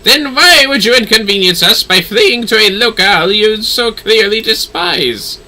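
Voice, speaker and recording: A man's voice: high voice, expressive, a little slow, bright, forceful tone, heavily acted, rough recording. A man voicing an eloquent, effusive nobleman from a fantasy game, with florid, theatrical phrasing. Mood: indignant. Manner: florid, theatrical, formal speech. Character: flamboyant, eloquent nobleman, effusive patron of a workshop. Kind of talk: fantasy game dialogue read aloud.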